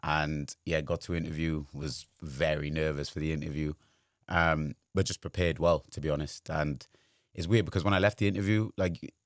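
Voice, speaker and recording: A man's voice: very deep voice, steady tone, normal speed, dry recording, studio quality. A man, a guest telling his career story in casual chat, rambling with lots of fillers. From Wales. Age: 20s. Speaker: guest, sports journalist.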